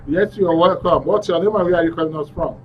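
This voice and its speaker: A man's voice: fast, slight background noise. A man with a Nigerian accent courteously hosting a live call-in radio show. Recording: muffled, distant, low-fidelity live stream.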